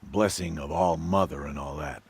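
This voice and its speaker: A man's voice: very deep voice, normal speed, slightly rough, no room sound, full character voice. A man acting a guarded outlaw trader in a video game, laconic and dryly brusque. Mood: businesslike. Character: gruff, wry male outlaw trader, a former outcast. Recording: music behind the voice.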